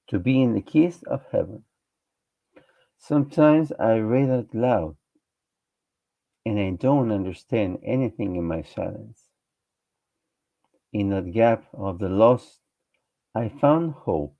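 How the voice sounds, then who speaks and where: A man from Chile reciting his poem slowly and earnestly as a guest poet. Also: fairly low pitch, gravelly. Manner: slow, earnest reading with pauses. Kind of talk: poetry recitation. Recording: dull lo-fi online call audio.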